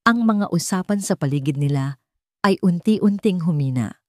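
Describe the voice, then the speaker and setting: Audio story narrator: Filipino woman, deep-voiced and warm, scripted dramatic delivery. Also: lively intonation, moderate pace, no room sound, clean studio sound.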